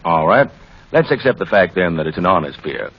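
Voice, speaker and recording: Low-voiced family doctor, deliberate, frank, advisory, old radio drama, band-limited sound. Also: male voice, expressive, slightly rough. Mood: measured advice. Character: measured, frank family doctor giving advice. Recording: dull vintage radio recording.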